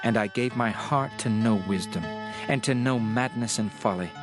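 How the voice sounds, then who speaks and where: A man's voice: mid-range voice, close to an everyday voice. Man playing a solemn, world-weary king over music. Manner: solemn, declamatory, measured. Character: the Preacher, world-weary reflective old king of Jerusalem. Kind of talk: dramatized scripture reading.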